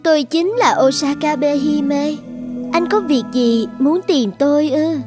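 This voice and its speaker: An actress voicing a regal, calm female spirit, stern but kind, in a cartoon. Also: high-pitched, slow pace. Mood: calm, commanding. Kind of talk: animated cartoon dubbing. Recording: music behind the voice.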